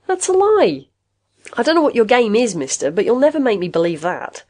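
A woman's voice: high-pitched, very animated, normal speed, a little mellow, no room sound, studio quality, pitched above the performer's own voice. A woman voicing a wary, stubborn, loyal man in an audiobook, suspicious and protesting. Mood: disbelieving.

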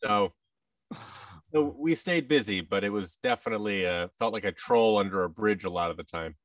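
A man's voice: on the low side, normal speed, dry recording, distant mic. An American man in his thirties chatting casually as an interview guest, with a wry, self-deprecating manner. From United States. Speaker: guest, voice actor and audio drama producer. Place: large indoor studio room. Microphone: boom-arm microphone with headset, video call.

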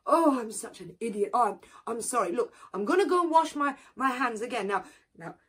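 A woman voicing a meek, polite male turtle who stammers and apologizes, with a husky voice. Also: fairly high pitch, expressive, brisk, voice pushed up. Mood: apologetic.

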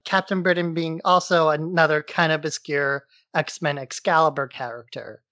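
A man's voice: fairly high pitch, fairly even intonation, moderate pace, bright, forceful tone. A man co-hosts a podcast with an informal, irreverent way of explaining a story. His voice is recorded close and dry, with no room echo, and the recap sounds casual and conversational. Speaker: podcast co-host.

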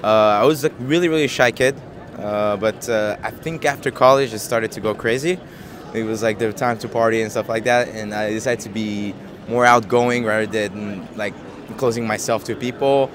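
A man in his twenties from Japan, answering street interview questions in a soft, hesitant way with many fillers and easy laughs, into a handheld mic outdoors. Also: lowish voice, normal speed, noisy background. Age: 20s. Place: busy city square outdoors. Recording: busy street crowd behind.

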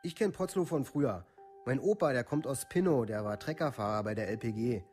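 A male actor plays a rough young man from a village, recounting events bluntly in a medium-pitched voice. It is radio drama testimony, plain and unemotional, with faint music behind it. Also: fairly quick, a little mellow, lightly acted. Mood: casual, reminiscing. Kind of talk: documentary radio play monologue, testimony.

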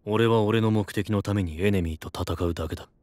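A man's voice: on the low side, fairly quick, a little mellow, dry recording, close to an everyday voice. An actor voicing a cold, aloof lone-wolf king in a fantasy drama, curt and detached. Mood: cold resolve.

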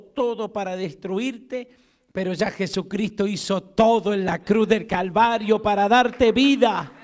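High-voiced Argentine pastor preaching. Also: male voice. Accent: Argentine Spanish. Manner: emphatic preaching, rising loud to call for amens and dropping to a hush. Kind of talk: sermon. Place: church hall with a stage. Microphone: handheld mic at a pulpit, far camera.

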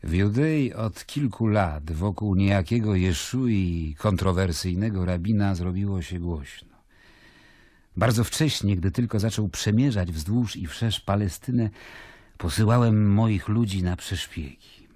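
An actor playing a weary, wary Roman governor in a radio play, brooding and disdainful as he voices his letters in measured phrases. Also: low-pitched, mellow, dry recording, studio quality. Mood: troubled, uneasy. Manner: brooding, measured, disdainful, dropping at times to near whisper. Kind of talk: dramatic monologue in a radio play, letter read aloud. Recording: music bed behind the voice. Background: music.

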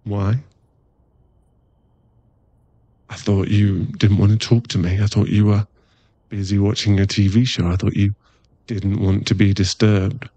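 A man playing a playful, teasing boyfriend in an intimate ASMR roleplay, talking haltingly and acting mock-offended and cajoling. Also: lowish voice, flat delivery, dark tone. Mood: sulky. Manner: teasing, halting, intimate one-sided chat.

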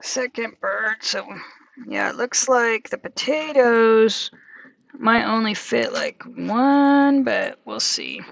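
A woman's voice: fairly high pitch, big pitch swings, slow, gravelly, no room sound. A woman talking casually through a cooking demonstration in a small van kitchen, narrating each step as she works. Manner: chatty, talks herself through each step. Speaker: van life vlogger.

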